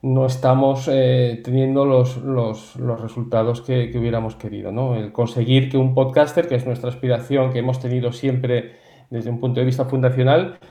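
Guy being interviewed, middle aged, mellow voice, wordy, roomy echo. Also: mid-range voice, flat delivery, normal speed. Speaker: interview guest, company founder. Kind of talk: explanatory interview talk. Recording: roomy, echoey sound.

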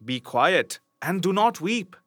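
A persistent talking frog from a read-aloud fairy tale, as a male narrator plays him. He bargains in a coaxing, polite way with a bright, forward tone. The sound is close and dry. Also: sits high, big pitch swings, takes it slowly, no echo, voice pushed up, exaggerated voice.

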